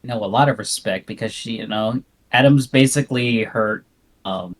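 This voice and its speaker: A man's voice: on the low side, normal speed. A man in a casual discussion, rambling and hedging with "like" and "you know".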